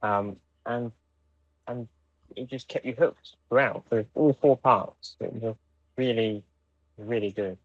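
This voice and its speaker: A man co-hosting a podcast in casual discussion, speaking in a halting way with frequent restarts. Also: mid-range voice, fairly even intonation, dry recording, phone-quality sound. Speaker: podcast co-host.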